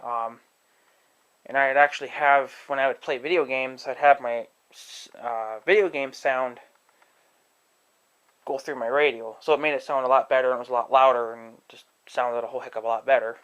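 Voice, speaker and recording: A man giving a casual, rambling demonstration to viewers, halting and thinking aloud as he talks. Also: medium pitch, steady tone, a bit bright, clean tone. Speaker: amateur video creator demonstrating an app. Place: indoors in own room at home.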